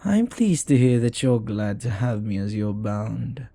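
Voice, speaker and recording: Jealous demon boyfriend for roleplay audio, played by a man: mellow, husky, intimate, formal and devoted. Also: lowish voice, big pitch swings, measured pace, no echo, full character voice. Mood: devoted, pleased.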